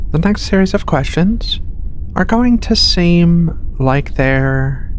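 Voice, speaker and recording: A woman voicing a patient alien feline researcher in a soft-spoken ASMR roleplay, with hesitant, reassuring questioning over a ship-like ambient rumble. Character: female alien feline researcher, patient, clinical, gently playful. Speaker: medical examiner.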